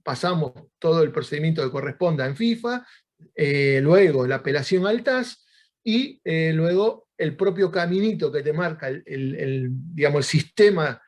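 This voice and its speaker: Male host, high voice, chatty intro with fillers. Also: animated, moderate pace. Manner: conversational, many fillers like "eh" and "este". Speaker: host and moderator. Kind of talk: introducing a speaker.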